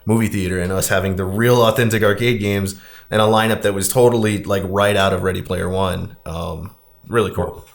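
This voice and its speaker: A man with a fairly low voice hosts a casual movie podcast. He talks in a loose, rambling, conversational way, as if among colleagues. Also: fairly even intonation, fairly quick, vocal fry. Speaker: podcast host.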